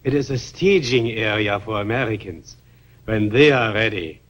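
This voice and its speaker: Scornful European political cynic. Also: male voice, fairly low pitch, lively intonation, moderate pace, a bit bright, lo-fi sound. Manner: dismissive, emphatic.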